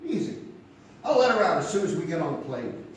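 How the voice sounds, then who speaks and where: A man's voice: on the high side, very animated, fairly quick. A man playing a brash, wisecracking comic sidekick in a stage comedy, with a flippant, punchy delivery. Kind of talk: comic stage radio-play acting. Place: theatre stage before a live audience. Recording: echoey theatre stage from far off, clear noise.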